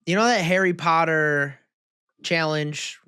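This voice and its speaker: A man's voice: fairly high pitch, steady tone, slow, sharp tone, smooth, no room sound, studio quality. A young man from Illinois co-hosting a podcast, chatting casually in a joking, slangy way. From Illinois, United States. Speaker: podcast co-host. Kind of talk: casual chat.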